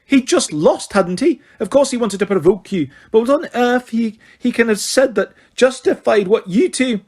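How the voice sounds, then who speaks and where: A man's voice: very high-pitched, expressive, moderate pace, a little mellow, pitched above the performer's own voice. A man voicing a stern, strict older female professor who scolds in a clipped, furious way.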